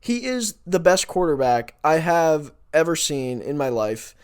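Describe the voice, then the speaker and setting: A man's voice: on the high side, sing-song, measured pace, smooth. A man co-hosting a sports podcast, giving opinions in an exasperated, emphatic and insistent manner.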